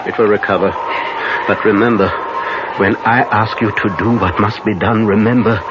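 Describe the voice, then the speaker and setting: An actor playing a commanding older professor who hunts vampires, speaking urgently and solemnly in halting instructions in an old-time radio drama. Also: male voice, on the low side, fairly even intonation, lightly acted. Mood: grave, instructing. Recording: muffled, very noisy old radio recording.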